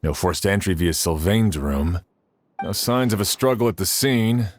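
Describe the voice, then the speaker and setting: An actor playing a cool, probing investigator in a video game, deliberate and matter-of-fact. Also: male voice, low voice, animated, a little hiss or hum. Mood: pondering. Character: cool, probing male investigator summing up evidence. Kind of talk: dramatic video game voice acting.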